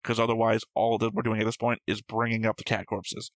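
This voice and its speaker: A man's voice: fairly low pitch, level tone, a little fast, dry recording. A man hosting a reaction show, reading out notes and discussing them casually in a matter-of-fact, slightly pedantic way.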